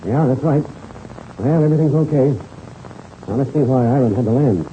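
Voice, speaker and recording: A man's voice: medium pitch, normal speed, lightly acted. A man acting a level-headed, reassuring American pilot who takes charge in a 1930s radio serial. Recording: muffled, hissy old-time radio recording.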